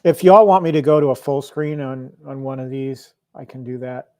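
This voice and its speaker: A man's voice: medium pitch, moderate pace, a little mellow, dry recording, studio quality. A middle-aged man hosting a casual livestream from his home arcade room, rambling with many restarts. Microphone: lavalier mic.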